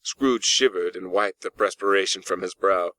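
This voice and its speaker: A man's voice: low-pitched, moderate pace, fairly bright tone, dry recording, rough recording. A man narrating a classic story from a script in a dramatic audiobook style. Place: close, dry in-house recording.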